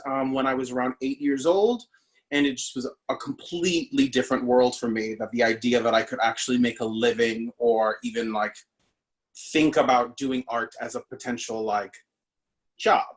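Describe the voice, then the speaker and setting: A man's voice: medium pitch, steady tone, normal speed. A man from the Bahamas, a stage actor, telling his story in a casual interview over a video call, chatty and full of fillers. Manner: chatty, with many fillers and restarts.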